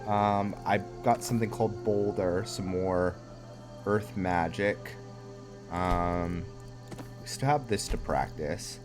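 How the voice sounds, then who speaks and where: Low-voiced, unhurried man doing game commentary. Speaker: game streamer, host of a roleplay series. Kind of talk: casual gameplay commentary, thinking aloud. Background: game music.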